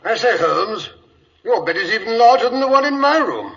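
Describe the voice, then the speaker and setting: An actor playing a genial, huffy gentleman companion to a detective in an old radio drama, jovial and blustery. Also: high-pitched, big pitch swings, normal speed. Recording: dull old radio recording, faint hiss.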